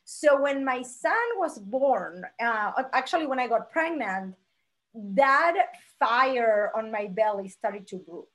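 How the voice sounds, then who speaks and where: Energetic woman from Argentina, forties. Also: a little high, slow pace, poor audio. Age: 40s. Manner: energetic, animated storyteller. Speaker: guest. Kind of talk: conversational interview storytelling.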